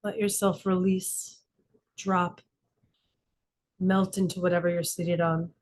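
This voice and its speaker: A woman leading a guided meditation as a teacher, calm and instructive, speaking in slow, deliberate phrases with frequent pauses. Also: on the low side. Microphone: video call class.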